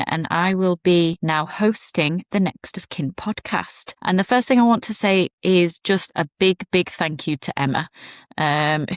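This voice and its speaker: Chatty young Yorkshire woman, lowish voice. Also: no echo, clean studio sound. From England, Yorkshire. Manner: chatty and warm, with giggles and fillers. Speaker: podcast host. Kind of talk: casual solo podcast chat.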